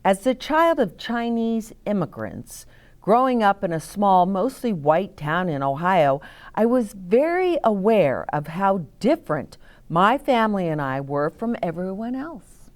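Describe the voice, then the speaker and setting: A woman reading picture books aloud to children as a storytime host, in a deliberate, explaining way. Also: medium pitch, very animated, a little mellow. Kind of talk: expressive read-aloud for children with asides to listeners.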